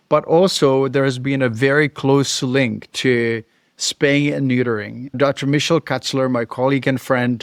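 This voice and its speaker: A man's voice: mid-range voice, no room sound, clean studio sound. A middle-aged male veterinarian with a Czech accent, explaining in a thoughtful, informal way to camera. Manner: thoughtful, explanatory, occasional chuckles. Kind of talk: casual educational talk to camera.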